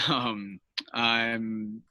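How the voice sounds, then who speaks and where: A man who grew up in Washington, a Spanish teacher and guest, talking in a friendly, halting way with easy laughs over a video call. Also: mid-range voice, level tone, bright, forceful tone, dry recording, clean studio sound. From born in Colombia, raised in Washington. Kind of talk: casual interview talk.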